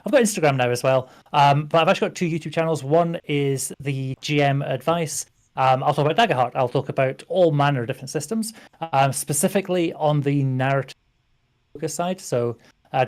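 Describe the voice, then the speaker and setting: A mid-pitched British man talks as a guest on the stream. He is chatty and upbeat, and he speaks at an ordinary pace. He tells the audience about his tabletop game channels. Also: a little mellow. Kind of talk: casual chat.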